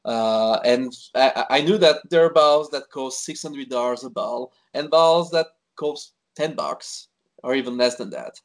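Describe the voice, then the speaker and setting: Swiss-born man, guest in a casual interview, talky, halting with fillers. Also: medium pitch. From Switzerland. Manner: chatty, many fillers and restarts. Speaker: wine expert guest. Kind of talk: conversational interview.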